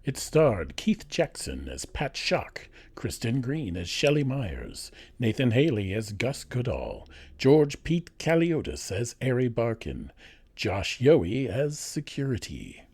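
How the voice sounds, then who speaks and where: Husky, animated male radio drama narrator. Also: medium pitch, slow pace, dry recording. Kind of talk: scripted theatrical narration, serial-style announcing.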